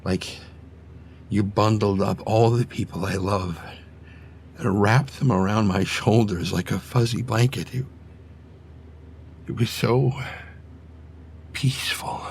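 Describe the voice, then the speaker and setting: A man voicing a gentle, sentimental aging father animal in a motion comic, speaking tenderly and with emotion in a soft, husky voice. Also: on the low side, slow pace, long pauses, slight background noise. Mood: awed, tender.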